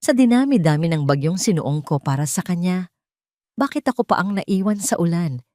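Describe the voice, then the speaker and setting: A woman's voice: lowish voice, animated, normal speed, mellow, dry recording, studio quality. A woman narrating a scripted first-person drama story in an emotive, solemn manner.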